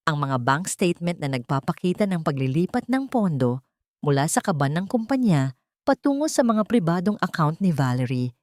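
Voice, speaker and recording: A woman with a Filipino accent narrates a scripted audio drama in a measured, solemn, theatrical way. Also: sits low, darkish tone, dry recording, studio quality.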